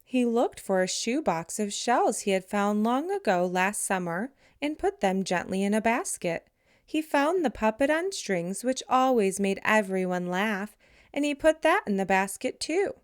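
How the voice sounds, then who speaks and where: A woman reading a picture book aloud to children in a deliberate, expressive storytelling manner. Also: medium pitch.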